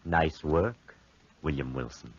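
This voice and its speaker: An actor playing a grave, watchful double who acts as a conscience, speaking with solemn reproach in a 1940s radio drama. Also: male voice, very deep voice, slow pace, slightly rough, full character voice. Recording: muffled, band-limited 1940s radio recording.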